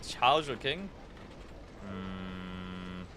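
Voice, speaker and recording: A man's voice: low-pitched, animated, very slow, sharp tone, slight background noise. A man with a New Zealand accent chatting casually and informally on a gaming livestream, rambling and swearing freely. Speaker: live game streamer.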